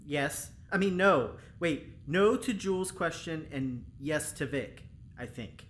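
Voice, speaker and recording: A male actor plays a well-meaning young lab assistant in an audio drama. He is anxious and hesitant, tripping over words with very lively pitch. There is faint noise in the recording. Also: slow. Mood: flustered. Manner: hesitant, stammering.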